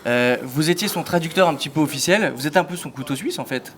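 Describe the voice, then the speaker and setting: A man's voice: fairly high pitch, fast talker, a little hiss or hum. A man interviewing a politician, asking questions in a conversational, probing way.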